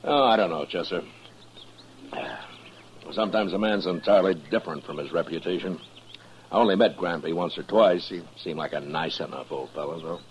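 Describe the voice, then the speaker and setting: An actor playing a weary, wry frontier marshal in a radio western, dry and laconic in manner. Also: male voice, sits low, normal speed, close to an everyday voice. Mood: calm, reflective. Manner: dry, laconic, gently teasing. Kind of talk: 1950s radio western acting. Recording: dull, faintly noisy old radio recording.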